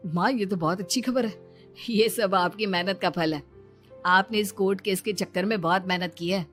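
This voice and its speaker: Young cartoon husband female performer, earnest and persuasive, middle register, soft music bed. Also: mid-range voice, normal speed, natural voice. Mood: reassuring. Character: young adult son, earnest, pleading, persuasive.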